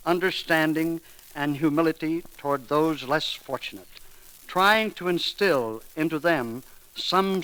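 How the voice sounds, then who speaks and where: A man reading a formal memorial eulogy on 1930s radio, solemn and oratorical with deliberate phrasing. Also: on the high side, fairly bright tone. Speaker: film studio manager giving eulogy. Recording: crackly 1930s radio broadcast recording.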